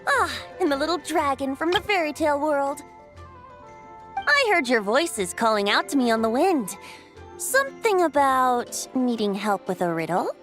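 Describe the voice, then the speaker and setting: A woman's voice: high voice, sing-song, normal speed, fairly bright tone. A woman voicing a youthful, breezy male wind god and bard in a video game, with a light boyish voice and a lilting, theatrical manner. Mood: cheerful, playful. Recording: music under the voice.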